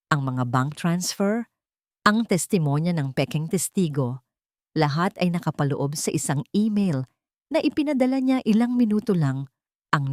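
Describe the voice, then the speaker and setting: A woman's voice: deep voice, a little mellow, no room sound, studio quality. A woman reading a dramatic scripted story as its narrator.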